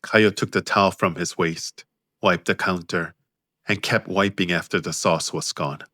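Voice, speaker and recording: A man narrating his own audiobook in a measured, understated way. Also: low voice, steady tone, bright, forceful tone, dry recording, clean studio sound. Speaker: author and narrator.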